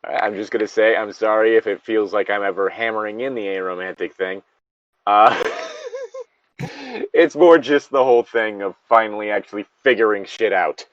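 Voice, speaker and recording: A man's voice: fairly low pitch, normal speed, no echo, highs cut off. A man co-hosting a read-aloud with casual, sweary, self-deprecating commentary. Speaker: co-host reading a story aloud.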